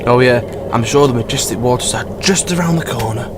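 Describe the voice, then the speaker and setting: A man acts a sarcastic young travelling companion in a sci-fi audio drama. His mid-pitched voice is dry with sarcasm and exasperation, over a clearly noisy background. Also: fast, natural voice. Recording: noisy ambience behind the voice.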